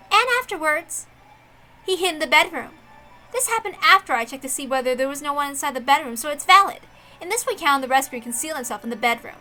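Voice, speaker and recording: A woman voicing a proud, intense young female detective with theatrical, deliberate delivery in a visual novel reading. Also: high voice, sharp tone. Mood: confident.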